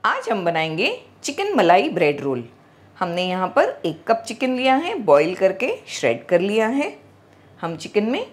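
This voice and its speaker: Middle-aged woman calmly explaining a recipe. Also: medium pitch. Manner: calm, instructive, gently explaining. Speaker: cooking channel host. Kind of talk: step-by-step recipe narration. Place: home kitchen, indoors.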